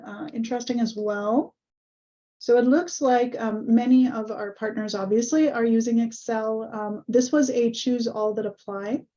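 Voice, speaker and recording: A woman hosting an online webinar over a video call, a little hoarse, friendly and full of ums. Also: on the high side, warm tone. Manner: friendly, many ums and uhs. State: slightly hoarse. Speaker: webinar host. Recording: muffled video call audio.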